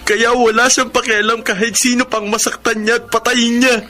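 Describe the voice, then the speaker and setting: A man's voice: very high-pitched, little pitch movement, normal speed, pushed and bright, faint music behind. A young man acting a hurt, resentful son in a Filipino radio drama. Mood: hurt, accusing. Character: hurt, resentful blind son confronting his mother.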